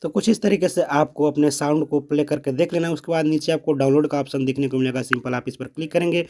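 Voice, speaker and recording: A man's voice: fairly high pitch, steady tone, fast, darkish tone, faint music behind. A man presenting a step-by-step app tutorial, explaining and repeating each step.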